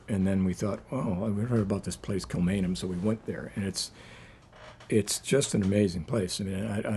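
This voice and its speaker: A man speaking informally as a poet at a live reading, rambling and self-deprecating, with a husky voice. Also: deep voice, dark tone, slight background noise. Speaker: poet introducing and reading own poem. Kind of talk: informal live poetry reading introduction with anecdotes.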